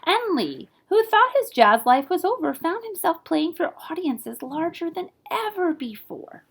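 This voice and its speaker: Very animated woman reading a storybook aloud. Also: very high-pitched, a little slow. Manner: animated, playful with scat-like sound words. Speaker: librarian reading a picture book aloud. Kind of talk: expressive children's read-aloud with asides to listeners.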